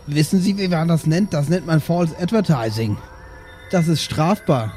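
A man's voice: fairly high pitch, moderate pace, warm tone, natural voice. A man voicing a lazy, dim, rambling alien father in an improvised comedy audio drama. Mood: reproachful. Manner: rambling, hesitant, laid-back. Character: lazy, dim, easygoing alien dad fond of food.

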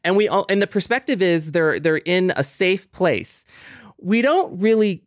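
A man in a casual, reflective discussion, speaking haltingly as he works through his thoughts. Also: high-pitched, lively intonation, no room sound, clean studio sound. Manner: halting, thinking aloud. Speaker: podcast co-host.

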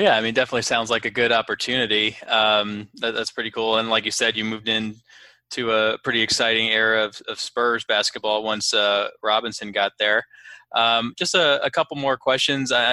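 A man's voice: mid-range voice, sharp tone, no room sound, low-quality recording. A man hosting a casual interview, polite and appreciative, phrasing his questions a little hesitantly. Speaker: podcast host, interviewer.

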